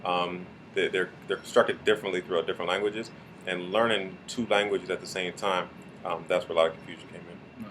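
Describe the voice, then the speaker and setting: A man's voice: sits low, steady tone, brisk, a bit bright. A young man from Canada, bilingual in French and English, giving thoughtful interview answers that he explains at length. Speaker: student interviewee. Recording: noisy outdoor recording.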